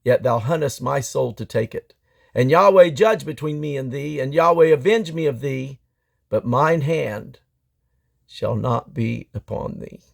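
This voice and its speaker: Male scripture reader, mid-pitched, gravelly and husky, emphatic dramatic delivery. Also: a little slow. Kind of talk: dramatic Bible read-aloud.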